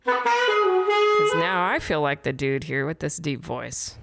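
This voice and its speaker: A woman comedian guest with a deep voice, telling a rambling, giggly story in casual chat. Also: huge pitch swings, slow pace, gravelly, loud music behind the voice. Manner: giggly, rambling, self-correcting.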